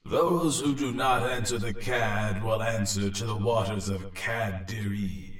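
Guy doing a creepy undead lake spirit, slow n low, solemn threats, echoey and far off. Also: a bit bright. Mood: ominous, threatening. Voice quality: echoing, hollow. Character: menacing undead water spirit in a dead man's body. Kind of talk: dramatic storytelling. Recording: echoey, distant-sounding effect.

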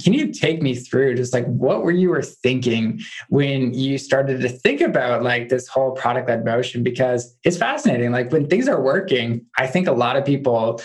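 A man's voice: mid-range voice, animated, normal speed, few pauses. A man hosting a podcast, chatting casually and saying "like" often. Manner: chatty, many "like" fillers. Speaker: podcast host. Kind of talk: casual interview chat.

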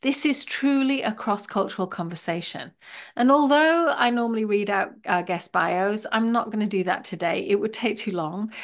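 A woman hosting a podcast, introducing the episode and welcoming guests in a measured, reflective way. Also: medium pitch. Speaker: podcast host.

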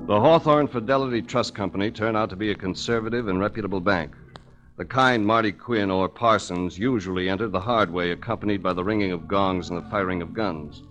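A man's voice: on the low side, brisk, a bit bright, muffled recording, close to an everyday voice. A man playing a suave, wry hard-boiled investigator in a 1940s radio drama, speaking in a dry, urbane, wisecracking way. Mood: wry narration.

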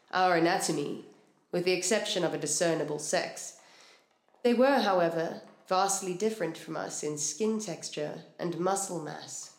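Earnest scientist, lowish female voice, formal, careful, expository audio drama delivery, music underneath. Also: darkish tone. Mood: earnest, clinical. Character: earnest, precise female scientist explaining secret research.

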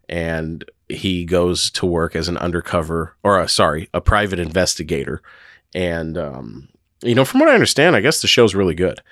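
Hoarse man, deep voice, dry close sound, loose chatty podcast host. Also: fairly bright tone, no echo. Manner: rambling, chatty with many fillers. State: hoarse from a sore throat. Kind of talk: casual podcast talk.

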